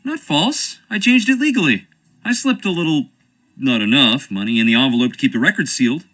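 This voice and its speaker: A man's voice: fairly high pitch, animated, fairly quick, bright, forceful tone. An actor playing a charming, evasive young stage actor with an American accent, wry and theatrical as he dodges questions. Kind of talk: video game voice acting.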